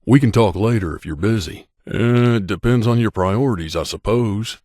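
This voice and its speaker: Game companion role: male actor as a hardened wandering sellsword, lowish pitch, curt and weary, very dry recording. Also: moderate pace, a little mellow, dry recording, lightly acted. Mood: hesitant, reflective. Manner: gruff, curt, world-weary. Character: grizzled, guarded male mercenary bodyguard, veteran wanderer. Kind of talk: video game companion dialogue.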